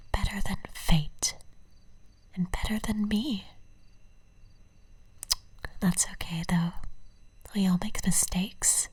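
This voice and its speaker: Woman acting a slow, whispering, obsessive female stalker. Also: on the low side, no room sound, exaggerated voice. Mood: yearning, coaxing. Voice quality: breathy whisper. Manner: slow, intimate, cooing endearments. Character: obsessive, possessive female yandere stalker, tender and menacing. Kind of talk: whispered ASMR roleplay.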